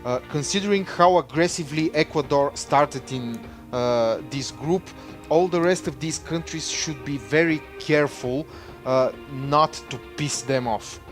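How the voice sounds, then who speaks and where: Host, male, slow and full of "uh"s, light music underneath. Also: fairly high pitch. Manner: hesitant, many "uh"s, slow. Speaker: livestream host. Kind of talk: casual live-stream commentary.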